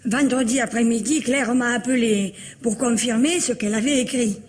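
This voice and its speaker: A woman's voice: fairly high pitch, normal speed, natural voice. An actress playing an emphatic, easily flustered female witness in an old French radio crime drama. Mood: emphatic, certain.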